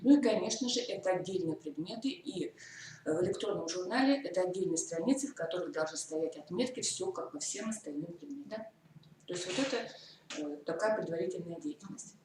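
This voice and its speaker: A woman's voice: on the low side, animated, a little fast, a little mellow. A woman from the Komi Republic giving a methodical teacher-training lecture, walking through points step by step with hesitant fillers. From Komi Republic, Russia. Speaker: lecturer on school curriculum. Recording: echoey hall from far off, faint noise.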